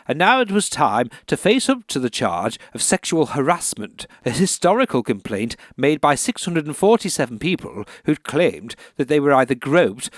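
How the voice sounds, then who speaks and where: A man plays an earnest male author with a morbid streak in a radio comedy sketch. His mid-pitched voice moves widely, solemn for reading and faltering when challenged. The sound is very dry. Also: no room sound, close to an everyday voice. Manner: slow solemn reading, stammering when questioned.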